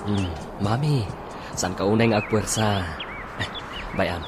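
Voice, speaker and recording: A man's voice: fairly low pitch, a little slow, music in the background, close to an everyday voice. A man playing a hesitant, attentive young boyfriend of an older woman in a radio drama. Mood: soothing.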